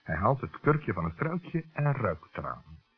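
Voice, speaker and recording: A man narrating a children's radio play in a scripted storytelling style, with a husky voice. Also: fairly low pitch, big pitch swings, unhurried, soft background music, full character voice. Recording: dull lo-fi old radio recording.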